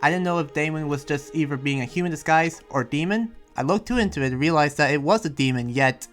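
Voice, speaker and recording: A man acts out a good-natured townsman in an improvised anime game roleplay. His voice sits a little high and he explains things earnestly, trailing into hesitations. Faint music plays behind him. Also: lightly acted. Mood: earnest, hesitant. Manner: hesitant, rambling explanations full of pauses. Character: friendly, earnest demon-sheltering townsman who senses lies.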